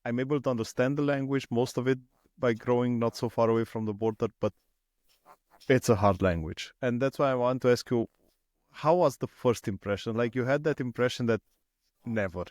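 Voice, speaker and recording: A man from Romania interviewing a guest in English, speaking haltingly with frequent repeated words and restarts. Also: mid-range voice, darkish tone, no echo. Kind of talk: casual interview questions.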